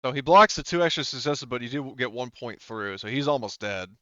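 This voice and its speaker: A man's voice: medium pitch, animated, fairly quick, fairly bright tone, dry recording, lo-fi sound. A man working as a tabletop game master, explaining rules and narrating combat in rambling, broken-off phrases peppered with filler words. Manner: rambling, talks in broken-off phrases with frequent 'like' and 'you know'.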